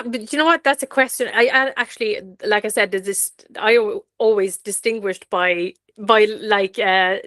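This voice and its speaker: A woman's voice: medium pitch, a bit bright, dry recording. Chatty Swedish-born woman, close dry sound. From Sweden. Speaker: interview guest, fiction author. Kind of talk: casual conversational interview answers.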